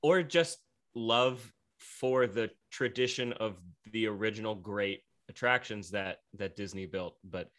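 A man's voice: fairly low pitch, unhurried, a bit bright. A young man from Pennsylvania with a Pennsylvania accent, chatting eagerly as a podcast guest. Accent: Northeastern Pennsylvania. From Pennsylvania, USA. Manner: eager, chatty, frequent fillers. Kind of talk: casual interview chat.

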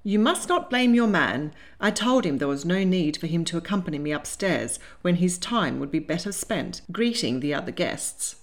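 A woman voicing a hearty, affectionate older gentleman lord in a storybook, jovial and teasing. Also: on the low side, expressive, normal speed, hardly any pauses. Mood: hearty, kindly.

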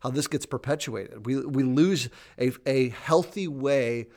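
A man's voice: mid-range voice, unhurried, a little mellow. A man speaking thoughtfully and hesitantly in a casual faith discussion, with many fillers. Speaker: podcast co-host.

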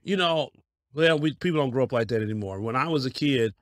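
A man's voice: mid-range voice, lively intonation, fast talker, fairly bright tone, no echo, clean studio sound. A man in his fifties, a pastor from Colorado, telling stories of his life as an interview guest in a folksy way. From Denver, Colorado. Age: 50s. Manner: folksy, storytelling, laughs easily.

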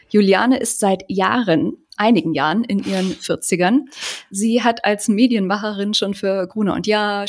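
A woman in her forties hosting a podcast, welcoming a guest and reading a promo in a brisk, chatty way. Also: mid-range voice. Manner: brisk, chatty announcer reading ads, some fillers. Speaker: podcast host.

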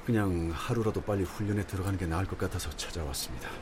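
A man's voice: low voice, fast, background noise, close to an everyday voice. An actor playing a polite young man who speaks in formal, earnest sentences.

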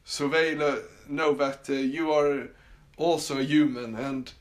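A man's voice: a little high, fairly even intonation, a little slow. A man from Sweden with a Swedish accent, hosting a casual solo podcast from his summer house, talking with many fillers and restarts. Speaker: podcast host.

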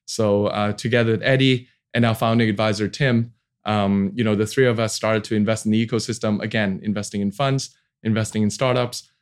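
A man's voice: fairly low pitch, steady tone, brisk, smooth. A man in his forties from Hong Kong, talking casually as an interview guest about his career, with hesitant phrasing. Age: 40s. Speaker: podcast guest, venture capital founder.

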